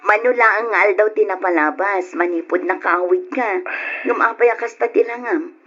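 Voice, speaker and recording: An actress playing a caring, probing older sister in an old radio drama. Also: female voice, mid-range voice, normal speed, fairly bright tone, faint music behind, natural voice. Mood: concerned. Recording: dull lo-fi old radio recording.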